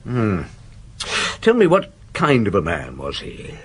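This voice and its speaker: A man's voice: lowish voice, wildly swooping pitch, slow pace, husky, exaggerated voice. Male, a methodical chief inspector for radio crime drama; authoritative, probing, widely varied intonation. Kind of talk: radio detective drama acting.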